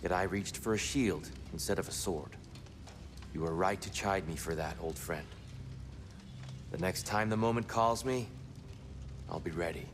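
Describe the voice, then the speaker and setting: An actor playing a calm, earnest older god who speaks in measured sentences. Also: male voice, low-pitched, a little hiss or hum, close to an everyday voice. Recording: game music behind.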